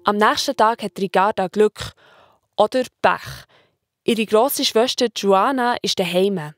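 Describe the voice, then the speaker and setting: A woman's voice: mid-range voice, a little slow, dry recording, clean studio sound, soft background music. A woman with a Swiss accent narrating a children's story in a colloquial style.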